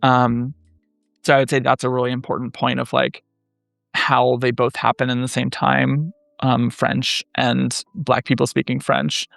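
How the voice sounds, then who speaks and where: A man in his thirties speaking as a scholar guest in a podcast interview, measured and thoughtful, pausing often with fillers. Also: medium pitch, flat delivery, creaky, fairly bright tone, dry recording, clean studio sound. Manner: thoughtful, many "um" pauses, measured. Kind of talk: academic interview conversation.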